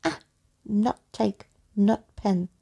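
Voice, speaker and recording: A woman voicing a strange, warty, vengeful imaginary girl in a storybook, in broken, breathy, sing-song speech. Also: mid-range voice, fairly even intonation, very slow, warm tone, heavily acted. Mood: wailing.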